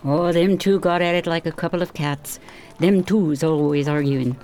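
A woman's voice: low voice, moderate pace, warm tone, soft background music, close to an everyday voice. A woman playing a gossipy, judgmental working-class villager in a radio play, talking colloquially. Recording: clear background noise.

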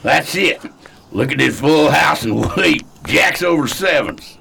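A man's voice: on the high side, expressive, unhurried, creaky, pushed and bright, slight background noise, exaggerated voice. A man playing a brash, boastful poker player in a 1920s period radio drama. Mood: brash, gloating.

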